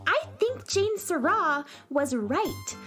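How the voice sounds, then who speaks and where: A woman's voice: very high voice, very animated, slow pace, faint music behind, exaggerated voice. A woman voicing a cheerful, chatty young heroine in a children's radio play. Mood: coaxing. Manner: bright, chatty, stalling.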